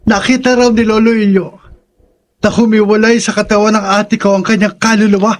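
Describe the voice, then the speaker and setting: An actor playing an anxious, earnest boy in a radio drama, breathless and halting, with a Filipino accent. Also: high voice, little pitch movement, lightly acted. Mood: awed.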